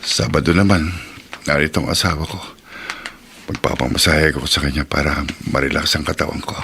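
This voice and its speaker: An actor with a Filipino accent playing a tired, lecherous working-class husband in a radio drama. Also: male voice, a bass voice, a little fast, vocal fry, sharp tone, a little hiss or hum, heavily acted. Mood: weary. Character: tired, leering husband, an auto mechanic.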